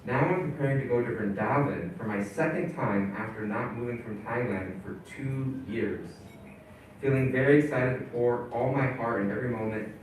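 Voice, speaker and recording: A man leading a community town hall, talking in a halting, casual way with many fillers and restarts. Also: mid-range voice, slight background noise. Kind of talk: casual spoken presentation. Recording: echoey hall, voice from far off.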